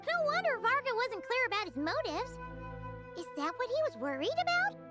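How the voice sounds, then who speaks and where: A woman voicing a small, excitable girl fairy companion in a video game, squeaky, childlike and exclamatory. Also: fairly quick, loud music behind the voice. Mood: curious.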